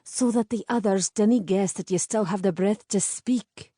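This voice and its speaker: A woman reading aloud doing a bold young Scottish woman, firm and commanding with a sharp tongue. Her tone is mellow and close, with a dry sound. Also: mid-range voice, moderate pace, no echo, close to an everyday voice. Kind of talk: audiobook character voice.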